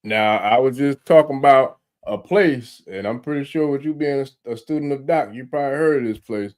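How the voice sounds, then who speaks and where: A man's voice: medium pitch, a little fast. Laid-back slangy man. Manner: laid-back slang, many fillers and restarts. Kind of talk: casual chat.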